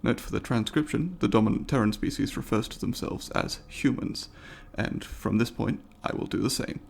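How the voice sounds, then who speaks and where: A man's voice: medium pitch, normal speed, vocal fry, slight background noise, close to an everyday voice. A man voicing a polite, eager alien student in an ASMR roleplay, speaking formally and precisely to the listener in an inquisitive, apologetic way. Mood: formal, businesslike.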